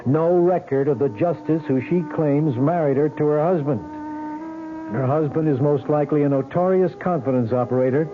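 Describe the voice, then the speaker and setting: A man's voice: fairly high pitch, lightly acted. An American man playing a probing, skeptical police lieutenant in a vintage radio drama, questioning insistently. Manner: questioning, insistent, halting when reasoning. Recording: tinny band-limited old radio recording, music.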